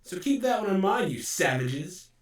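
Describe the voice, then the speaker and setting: A man playing an excitable, theatrical and scatterbrained tournament announcer in an animated drama. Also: sits high, moderate pace. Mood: dry aside. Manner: theatrical, showy.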